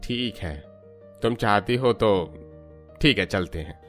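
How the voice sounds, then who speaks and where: A man voicing a curt, uninterested young husband in an animated family drama, answering dismissively. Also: on the low side, slow pace, soft background music. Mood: reluctant.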